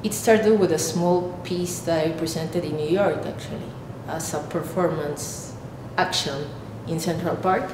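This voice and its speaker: A woman in her thirties from Peru with a Peruvian Spanish accent, an artist explaining her work haltingly, searching for words. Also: fairly even intonation. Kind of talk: explaining own artwork to camera. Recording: echoey room, clear rumbling background noise.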